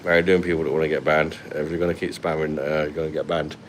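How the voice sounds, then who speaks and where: Deep-voiced London man chatting on a phone. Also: flat delivery, rapid-fire, a little hiss or hum. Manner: blunt and sweary, laughs often. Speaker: livestream host. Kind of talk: casual live chat reading comments. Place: hotel room at night, indoors. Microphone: phone held at arm's length.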